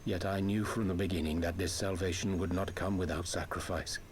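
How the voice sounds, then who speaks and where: A man playing a solemn, grave scholar in a video game, speaking measured, ornate archaic English. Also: sits low, fairly even intonation, slight background noise, natural voice. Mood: grave, regretful. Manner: measured, formal, archaic diction. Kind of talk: scripted video game voice acting.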